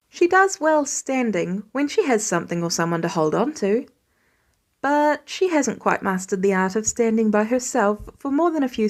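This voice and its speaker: A woman reading a scripted story aloud as an audiobook narrator. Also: a little high. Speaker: fan fiction audiobook reader.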